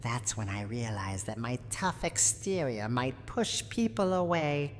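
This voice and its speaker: In a long, noisy hall reverb, a cranky old granny villain with a very low voice. She speaks haughtily and theatrically, as if from a horror game audio drama, with pitch that swings widely. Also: animated. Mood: sorrowful, confiding. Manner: menacing, haughty, slow and theatrical. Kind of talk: dramatic scripted roleplay monologue, ASMR audio drama. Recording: long hall reverb, audible background noise.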